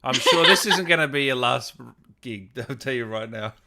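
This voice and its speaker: A man's voice: medium pitch, lively intonation, normal speed, sharp tone. A man chatting casually as he interviews a guest on a gaming show, asking questions in an informal way. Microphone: video call.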